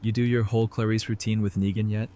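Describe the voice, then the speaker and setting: A man playing an easygoing, low-voiced teasing doctor. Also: little pitch movement, quick pace, warm tone, a little hiss or hum, close to an everyday voice. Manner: casual, playful banter. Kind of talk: fan audio drama dialogue.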